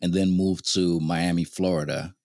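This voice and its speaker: A man's voice: deep voice, fairly even intonation, moderate pace, a little mellow, no room sound, studio quality. A man in his thirties, born in Venezuela to a Haitian family, telling his life story as a podcast guest with easy laughs. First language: Haitian Creole or Spanish. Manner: thoughtful, laughs easily, storytelling. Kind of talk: casual interview conversation.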